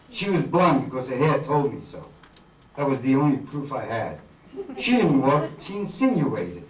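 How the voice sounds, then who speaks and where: A man's voice: medium pitch, natural voice. Man playing wisecracking noir tough guy, echoey hall. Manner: wry, slangy. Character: wisecracking hardboiled male detective-drifter, flirtatious, down on his luck. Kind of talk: 1950s radio noir acting, read by an amateur performer. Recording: echoey hall, distant microphone.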